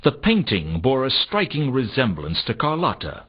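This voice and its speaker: An actor playing a world-weary, suspicious, sardonic private detective, narrating a noir video game in a dry, wry, deadpan manner. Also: male voice, mid-range voice, moderate pace, breathy edge. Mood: sardonic, deliberate. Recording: slightly dull, compressed console game audio.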